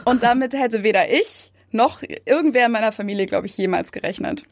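A woman's voice: a little high, a little fast, dry recording. A young woman from Germany, a content creator, chatting casually in an interview with many fillers. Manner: chatty, many fillers, mimics others in quotes.

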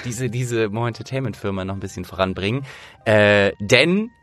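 A man's voice: lowish voice, expressive, normal speed, a bit bright, soft background music. A man hosting a podcast in a casual, chatty way, feeling for his words as he goes. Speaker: podcast host and producer.

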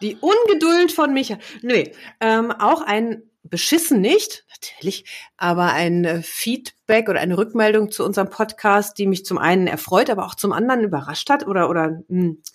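A woman co-hosting a podcast in relaxed chat, lively and teasing, laughing often. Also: medium pitch, moderate pace. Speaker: podcast co-host. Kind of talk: casual chat.

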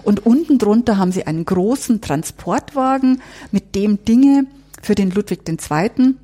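A woman's voice: medium pitch, expressive, moderate pace, mellow. A woman, speaking as a curator in a conversational interview and explaining with rhetorical questions. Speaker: exhibition curator and historian. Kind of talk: conversational interview, guiding through an exhibition.

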